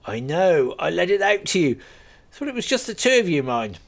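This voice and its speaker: A man's voice: sits high, sing-song, normal speed, vocal fry, pitched above the performer's own voice, heavily acted. A man doing a cheerful farmer in his forties, raised a few notches above the reader's own voice, chatty and teasing, his telling swinging up and down with plenty of lift. A jovial storybook countryman.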